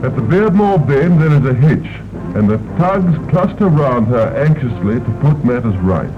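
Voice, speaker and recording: A man's voice: medium pitch, very animated, moderate pace. A man giving stately, ceremonious 1930s newsreel narration. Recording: tinny old film soundtrack with music.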